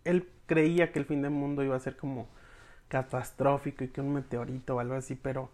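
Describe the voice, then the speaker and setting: A speaker with a Mexican accent giving an informal, expressive recap to an audience of friends, slangy and casually acting out bits of dialogue. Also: medium pitch, brisk. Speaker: podcast reviewer recapping episodes for followers.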